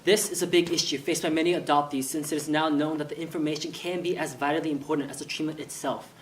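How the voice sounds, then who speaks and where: A man's voice: fairly high pitch, steady tone, quick pace. A man delivering scripted, informational narration on stage. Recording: echoey room, distant mic, faint noise.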